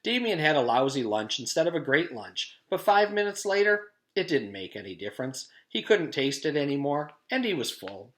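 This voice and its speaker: A man's voice: fairly high pitch, big pitch swings, normal speed, few pauses, a bit bright. A man reading a children's book aloud in the style of a teacher's storytime, narrating expressively.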